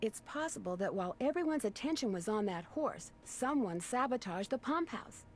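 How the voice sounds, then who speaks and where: A woman's voice: on the high side, lively intonation, moderate pace, a little hiss or hum, natural voice. A woman playing a plucky teenage girl detective in a video game, earnest and self-assured. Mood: earnest, determined.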